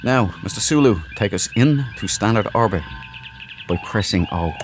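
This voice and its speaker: A man's voice: fairly low pitch, expressive, moderate pace, background noise. A man giving casual let's play commentary, explaining things in a chatty, informal, rambling way. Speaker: gaming video host. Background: game music.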